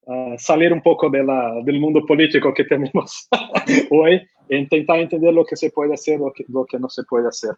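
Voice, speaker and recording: A man's voice: on the high side, darkish tone, dull audio. A man from Brazil with a Brazilian Portuguese accent, a publishing consultant answering questions in Spanish at an online conference, with frequent fillers and restarts. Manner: hesitant non-native Spanish with many fillers and restarts. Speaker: publishing consultant, guest speaker.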